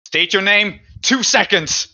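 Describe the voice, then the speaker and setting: A man voicing a hostile, commanding woman who makes sharp demands in a tabletop game. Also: sits high, takes it slowly, pitched above the performer's own voice, exaggerated voice. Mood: hostile, threatening.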